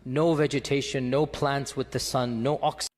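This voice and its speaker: A man's voice: mid-range voice, little pitch movement, normal speed. A man in a played clip, earnestly raising questions in a lecture. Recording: reverberant hall sound.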